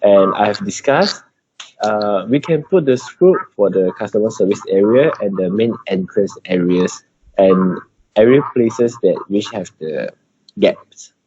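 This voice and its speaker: A man with a Malaysian accent giving an update in an informal work meeting on a video call, in halting non-native English. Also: on the low side, warm tone. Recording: dull, noisy video call with clicks.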